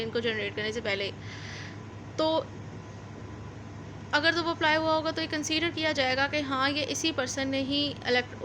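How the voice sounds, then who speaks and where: A woman's voice: sits high, background noise. A woman with a Pakistani accent gives an explanatory law lecture, going over points informally and repeating them as a teacher does. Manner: reads formal text slowly, then explains informally with repetition.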